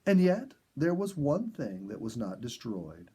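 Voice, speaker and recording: Male audiobook narrator, storybook style, animated intonation, a little husky, earnest and dramatic. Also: medium pitch, measured pace.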